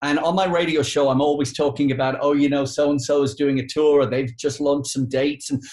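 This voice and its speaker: British male radio interviewer, fifties, fairly high register, chatty delivery, markedly echoey living-room call. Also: little pitch movement, brisk, darkish tone. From United Kingdom. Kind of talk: conversational interviewing. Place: living room on a sofa, indoors.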